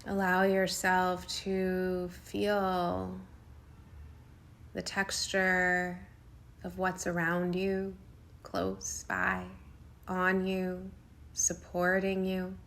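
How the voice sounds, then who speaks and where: A woman guiding a yoga nidra meditation in a soothing, unhurried way, speaking in short phrases with long pauses. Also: on the low side, completely flat delivery, gravelly, fairly bright tone. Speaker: meditation guide.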